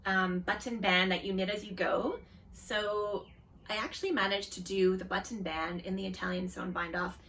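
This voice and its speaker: Woman host, rambling casual chat, bright with a bit of fry, a little off-mic. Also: medium pitch, distant mic, a little hiss or hum. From Canada. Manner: chatty, rambling with fillers and self-deprecating asides. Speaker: podcast host.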